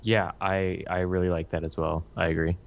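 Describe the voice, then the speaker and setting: A man's voice: low voice, little pitch movement, moderate pace, vocal fry. A man co-hosting a podcast in casual chat, heard through dull, lo-fi audio. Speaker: podcast co-host.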